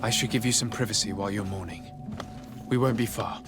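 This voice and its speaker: A man acting a courteous, composed noble diplomat with a formal, measured manner in a video game. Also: on the low side, loud music behind the voice, natural voice. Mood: gentle, solemn.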